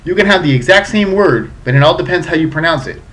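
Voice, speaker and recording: A man's voice: on the high side, expressive, fast, fairly bright tone, low-quality recording. A man in his thirties from South Florida, a little drunk, casually reviewing a beer on his webcam in a chatty, profane way. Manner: chatty, profane, a bit tipsy. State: slightly drunk.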